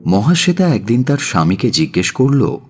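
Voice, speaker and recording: A man narrating a scripted audio story as its presenter, with a measured, theatrical delivery. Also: medium pitch, big pitch swings. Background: music.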